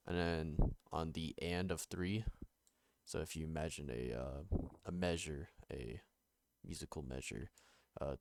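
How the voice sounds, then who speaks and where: A young man with a nasal voice, recovering from being sick, talking casually through a music production tutorial with many pauses and fillers. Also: vocal fry, dry recording. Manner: halting, many ums and restarts, low-key. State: recovering from illness. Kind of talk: casual screen-recorded tutorial.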